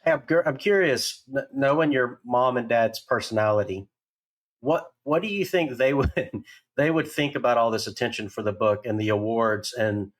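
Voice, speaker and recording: A man hosting a podcast interview, asking questions in a casual, enthusiastic way. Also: medium pitch. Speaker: podcast host.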